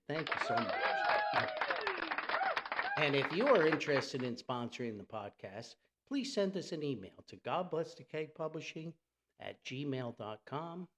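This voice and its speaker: A man's voice: fairly high pitch, fairly bright tone. An American man from New Jersey hosting a solo podcast in a chatty, theatrical, folksy way, with exclamations and words repeated for emphasis. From New Jersey, United States. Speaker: podcast host. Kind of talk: chatty solo podcast monologue with sponsor reads.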